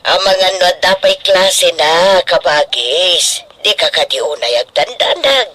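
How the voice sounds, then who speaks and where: A woman's voice: fairly low pitch, lively intonation, slow, crowd noise. A woman playing a kindly middle-aged auntie who soothes and advises her family in a radio drama, with an Ilocano Filipino accent. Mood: reassuring.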